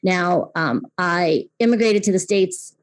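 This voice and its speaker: A woman's voice: lowish voice, unhurried. A woman in her fifties from Taiwan, hosting her podcast and talking in an earnest way that often restarts and repeats words. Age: 50s. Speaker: podcast host. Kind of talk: casual personal talk; scripted intro narration.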